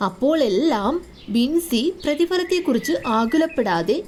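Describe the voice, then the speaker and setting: A woman's voice: high-pitched, sing-song, normal speed, a little mellow, a little hiss or hum. A woman giving scripted narration for a children's moral story.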